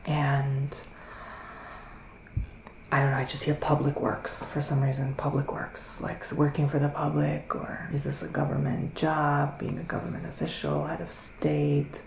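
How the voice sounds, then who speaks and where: A man plays a psychic witch in a soft ASMR roleplay, slipping between gentle talk and whispers. His delivery is very slow and musing, with plenty of vocal fry. The room has a noticeable echo around his voice. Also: a little high, monotone, a little hiss or hum. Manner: hesitant, drawn-out, mystical musing. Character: psychic male witch giving a mystical reading. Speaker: ASMR performer playing a psychic reader.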